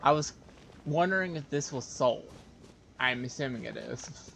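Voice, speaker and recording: Male streamer, gravelly fry, mid pitch, casual muttered gameplay commentary, faint background noise. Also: lively intonation, normal speed, sharp tone. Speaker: game streamer.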